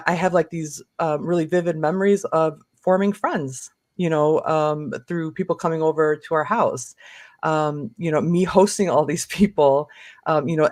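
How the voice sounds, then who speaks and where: Woman, fifty, interview guest, casual storytelling, halting phrasing, dry acoustics. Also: low-pitched, darkish tone, no room sound. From born in Lebanon, raised in Michigan. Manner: hesitant, peppered with "you know" and "like".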